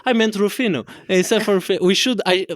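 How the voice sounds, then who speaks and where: A man's voice: high voice, fairly quick, no echo. Portuguese-accented man, chatty and rambling. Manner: rambling, self-correcting, laughs often. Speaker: guest musician. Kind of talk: casual live conversation. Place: live event room with audience.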